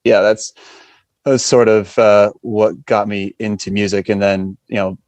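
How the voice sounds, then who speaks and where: American male musician, podcast guest, lower voice, casual, close dry recording. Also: level tone, unhurried, a little mellow, no echo. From western Massachusetts, USA. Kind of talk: casual chat.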